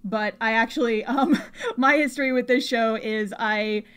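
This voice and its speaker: A woman co-hosting a podcast, chatting casually in a playful, expressive and slangy way. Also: fairly high pitch, normal speed, smooth. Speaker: podcast co-host. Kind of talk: casual chat about anime.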